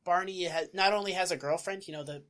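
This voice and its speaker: A woman's voice: lowish voice, fast, a bit bright. A woman co-hosting a podcast in casual, teasing chat, swearing freely. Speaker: podcast co-host.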